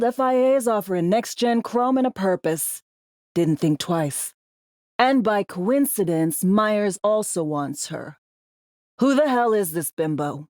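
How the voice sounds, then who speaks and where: A woman's voice: on the low side, a little mellow, no room sound, studio quality. A woman playing a brash, foul-mouthed, world-weary female spy in a video game, talking in clipped, slangy, sardonic lines. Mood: jaded, wisecracking, then wry, sardonic. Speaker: undercover field agent in a sci-fi game. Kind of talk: video game character dialogue.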